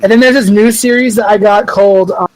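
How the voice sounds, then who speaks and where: A high-pitched young man in his twenties co-hosts a podcast. He rambles through loose casual chat and drops curse words freely. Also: fairly even intonation, brisk, no echo, rough recording. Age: 20s. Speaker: podcast co-host.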